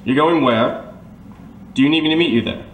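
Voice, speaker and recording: A man's voice: a little high, normal speed, close to an everyday voice. A man playing a formal, loyal Major in a detective radio drama, polite and old-fashioned in his phrasing. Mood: businesslike, curious. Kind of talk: audio drama acting in a 1930s detective serial. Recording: echoey, distant microphone, faint noise.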